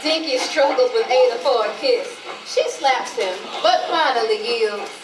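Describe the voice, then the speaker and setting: A woman's voice: fairly high pitch, measured pace, noisy background. A woman giving scripted narration, reading out a play's characters and stage directions. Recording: echoey, distant, music behind.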